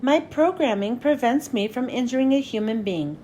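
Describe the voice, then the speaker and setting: A woman voicing a gentle, caring robot with a measured, formal manner in a storybook reading. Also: on the high side, animated, slight background noise, lightly acted. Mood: calm refusal.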